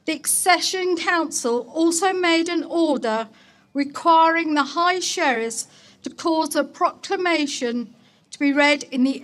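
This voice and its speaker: A woman speaking as a mayor at an outdoor ceremony, reading a formal public address in a solemn, deliberate, declamatory manner. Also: sits high, fairly bright tone. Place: outdoor market place ceremony.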